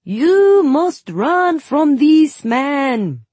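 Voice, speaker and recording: A woman reading an audiobook voices a young woman telling fortunes in a deliberately awful foreign accent, with broken grammar. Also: high-pitched, sing-song, darkish tone, no echo, voice pushed up, heavily acted. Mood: mock-ominous.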